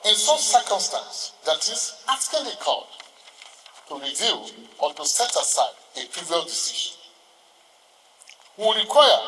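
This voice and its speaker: A man with a Nigerian accent giving a formal courtroom address as a senior lawyer, speaking in a deliberate, halting, oratorical way. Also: high voice, sharp tone. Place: large hall, ceremonial court session. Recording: echoey hall, distant microphone.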